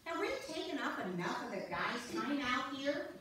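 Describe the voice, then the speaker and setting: A woman's voice: high voice, big pitch swings, normal speed. A woman playing a cheerful, gushing, coaxing wife in an amateur stage reading. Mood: breezy. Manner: gushing, playful, exclamatory. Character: cheerful, coaxing wife visiting husband's ice-fishing camp. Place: church sanctuary, live stage reading. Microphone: distant audience camera. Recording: echoey church hall from far off, noisy.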